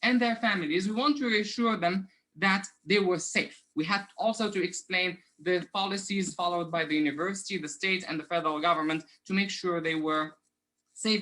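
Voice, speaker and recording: Moroccan-accented male academic presenting remotely, bright clear voice, earnest and explanatory, lots of fillers. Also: high-pitched, low-quality recording. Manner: earnest, explanatory, frequent "um" fillers and self-corrections. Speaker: conference presenter, assistant professor.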